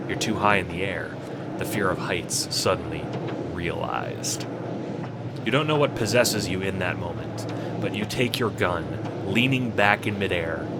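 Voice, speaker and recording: A man's voice: sits low, very noisy. A man narrating a scripted second-person story in a dramatic storytelling manner. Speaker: narrator and producer. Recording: music under the voice. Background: music.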